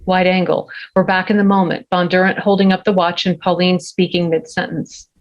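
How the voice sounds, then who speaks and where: A woman's voice: lowish voice, level tone, a little fast, highs cut off. A woman reading scene headings and action lines as narrator at a script table read. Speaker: stage direction narrator.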